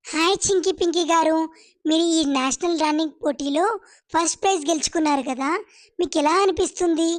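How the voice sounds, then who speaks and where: A woman's voice: extremely high pitch, brisk, long pauses. A woman voicing an upbeat TV reporter doing an interview in a cartoon story. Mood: upbeat, curious. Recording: clearly noisy, with clicks.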